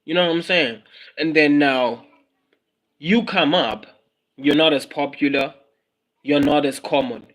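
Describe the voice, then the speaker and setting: A man's voice: fairly high pitch, a little slow, pauses often, fairly bright tone. South African man musing on a livestream. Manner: musing, repeats phrases, mixes in Zulu words. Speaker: livestream host. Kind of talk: casual livestream monologue.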